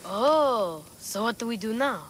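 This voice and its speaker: A woman playing an eager, cheeky little boy in a video game, excitable and quick to interrupt. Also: on the high side. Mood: hopeful, excited. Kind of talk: video game cutscene acting. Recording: game audio with faint background noise.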